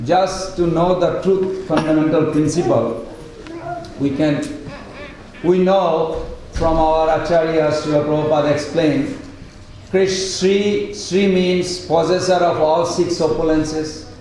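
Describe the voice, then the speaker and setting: A man with a loud voice, giving an earnest devotional lecture with many pauses and restarts. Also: fairly high pitch, steady tone. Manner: earnest, unhurried, with pauses and broken sentences. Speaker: speaker at a devotional study group. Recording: very echoey room, voice far from mic, faint hiss.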